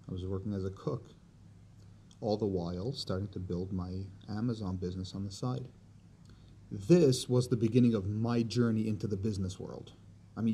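A young man recounts his past in a loose, conversational podcast monologue. His voice sits a little low and sounds dark and mellow. Faint ambience hums under the recording. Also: slight background noise. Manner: conversational, tag questions like "right?". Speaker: podcast host. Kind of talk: personal storytelling monologue.

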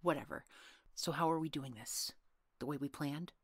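A woman's voice: moderate pace, no echo, studio quality. A woman playing a blunt, sarcastic human hunter with a protective streak in a fantasy audio roleplay. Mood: sardonic, blunt. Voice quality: low, husky. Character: gruff, sardonic female human hunter, protective.

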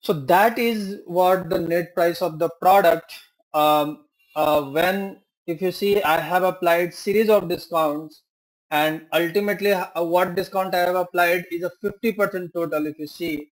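High-voiced man explaining step by step. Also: fairly even intonation, a little slow, poor audio. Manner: explains step by step, many fillers and self-corrections, asks rhetorical questions. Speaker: software trainer. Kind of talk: screen-share tutorial walkthrough.

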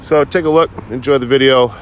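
A man in his thirties giving a relaxed, rambling voice-over about setting up his camp, with many fillers. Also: mid-range voice, fairly quick, slight background noise. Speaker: camping vlogger. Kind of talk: casual voice-over narration.

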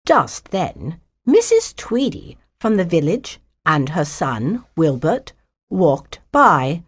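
Storybook narrator, female, slightly low voice, unhurried, scripted children's tale. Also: wildly swooping pitch, slightly rough. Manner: storybook expressiveness.

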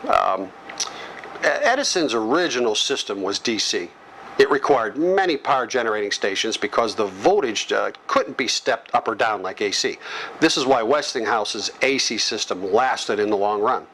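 Middle-aged man, American accent, instructor, varied intonation, measured phrasing, faint hum behind the voice. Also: lowish voice, lively intonation, a bit bright, low-quality recording. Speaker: electrical training instructor. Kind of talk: instructional lecture read from slides. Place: small office or classroom with whiteboard, indoors. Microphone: lapel microphone.

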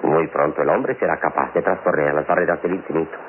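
An actor playing a wise, calm adventure hero in a radio drama, speaking in a measured, poetic and philosophical way on an old radio recording. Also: male voice, very deep voice, phone-quality sound, noisy background. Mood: awed.

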